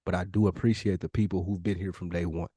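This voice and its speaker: Earnest American man with a lowish voice. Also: steady tone, fast, dark tone, husky, no echo. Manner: earnest, emphatic, repeats phrases for weight. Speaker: podcast co-host. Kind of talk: casual podcast chat.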